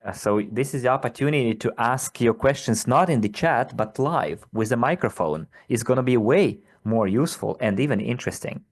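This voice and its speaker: A man hosting a live broadcast Q&A, answering questions in a direct, firm and brisk way. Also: medium pitch, expressive. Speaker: company presenter hosting a live Q&A.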